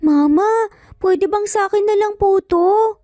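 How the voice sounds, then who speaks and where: A woman voicing an excitable eight-year-old boy in a Tagalog story, with whiny, insistent childlike pleading. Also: extremely high pitch, unhurried, studio quality, pitched above the performer's own voice. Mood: eager, pleading. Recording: faint music, roomy echo.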